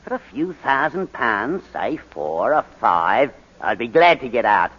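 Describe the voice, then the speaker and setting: A man's voice: medium pitch, sing-song, a little slow, fairly bright tone, breathy edge, heavily acted. An actor playing a sly, falsely genial male blackmailer who wheedles and taunts in 1940s radio drama style. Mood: sly, wheedling. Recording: muffled, reverberant 1941 radio broadcast.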